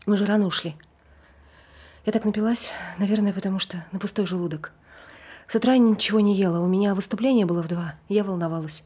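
An actress performs a tipsy married woman in a naturalistic stage play. Her voice is husky and low-key. She sounds nervous and awkward, chatting to justify herself. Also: quick pace, warm tone, close to an everyday voice. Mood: awkward chatter. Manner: rambling, self-justifying, awkward. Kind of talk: naturalistic stage acting, monologue.

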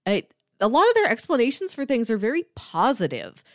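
Female podcast co-host, conversational, expressive pitch movement, recorded very dry. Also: a little fast, no room sound. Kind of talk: casual book discussion podcast.

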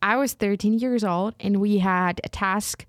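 A woman speaking English as a second language, her first being Ukrainian, reflecting on a personal story. She talks very slowly and hesitantly, restarting phrases as she searches for the right word. Her voice is smooth and clear, recorded dry and close. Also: medium pitch, fairly even intonation, no echo. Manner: slow, hesitant, searching for words with many restarts. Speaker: writer.

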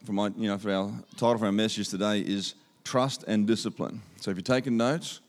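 Australian man preaching with a deep voice. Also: steady tone, a little fast. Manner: upbeat, conversational, emphatic repetition. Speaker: guest speaker. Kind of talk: church sermon. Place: church auditorium. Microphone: handheld microphone.

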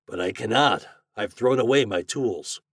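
Male narrator as a poor storybook glassblower, lovelorn, plaintive, earnest, husky voice. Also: on the low side, a little slow, no room sound, clean studio sound, distant mic, exaggerated voice. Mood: glum, indifferent. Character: miserable, lovelorn poor glassblower, homely man.

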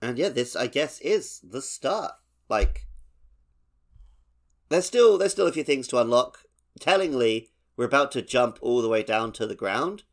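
A man's voice: mid-range voice, expressive, a little slow. A man co-hosting a gaming podcast in a casual, chatty style. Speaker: podcast co-host.

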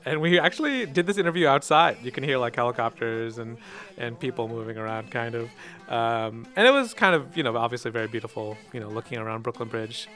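A man hosting an interview, relaxed and rambling with plenty of fillers, recorded outdoors. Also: medium pitch. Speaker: interviewer and host. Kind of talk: casual interview. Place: outdoors near a park.

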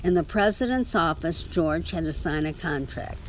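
A woman's voice: sits low, expressive, normal speed, a little hiss or hum. A woman reading a children's story aloud to a child, with casual asides.

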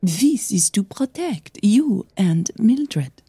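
A woman as composed older mother, husky and unhurried. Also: mid-range voice, big pitch swings, dark tone, no room sound, clean studio sound, voice pushed up, full character voice. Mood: serious, frank. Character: composed, amused older mother, wealthy and frank.

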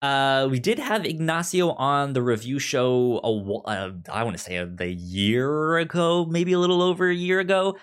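A man's voice: mid-range voice, moderate pace, few pauses, clean tone. A man hosting a podcast in casual chat, stuttering as he repeats sounds and syllables. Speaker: podcast host.

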